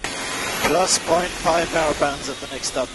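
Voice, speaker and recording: A man's voice: a little high, sing-song, measured pace. A man driving a race car, giving short, terse replies over helmet radio. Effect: team radio. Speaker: racing driver. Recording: radio over loud engine noise.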